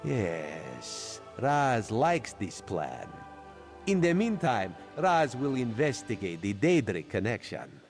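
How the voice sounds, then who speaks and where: Slow, swooping sly cat-man spy. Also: medium pitch, huge pitch swings, soft background music. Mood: sly, musing. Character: sly male cat-man spy who talks of himself in third person. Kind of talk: video game character dialogue.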